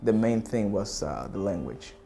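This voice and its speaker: Halting young man. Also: fairly low pitch, animated, gravelly, warm tone. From Dominican Republic. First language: Spanish. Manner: halting, searching for words. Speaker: theater student. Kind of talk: interview.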